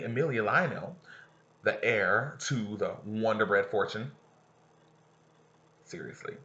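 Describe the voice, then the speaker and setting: A man's voice: mid-range voice, sharp tone, muffled recording. A man who teaches, reading a novel aloud to his students and stopping to explain passages and ask them questions.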